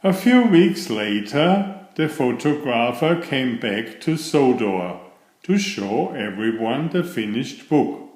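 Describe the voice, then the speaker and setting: A man reading a children's storybook aloud, telling it in an expressive and deliberate way. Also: medium pitch. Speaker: children's book reader. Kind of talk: storybook read-aloud narration. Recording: roomy, echoing voice recording.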